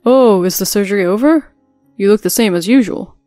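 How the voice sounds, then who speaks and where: Woman reading as a selfish young husband, dismissive, demanding, a bit louder than her narration, dry close sound. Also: mid-range voice, fairly quick, no echo, faint music behind, natural voice.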